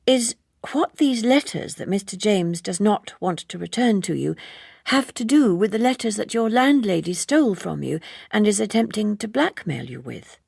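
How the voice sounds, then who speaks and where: A woman's voice: medium pitch, expressive, normal speed, vocal fry, darkish tone, slightly rough, no room sound, studio quality, voice pushed up, exaggerated voice. A woman voicing a young Edwardian secretary, eager yet self-possessed, polite and precise, in an audiobook. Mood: politely probing.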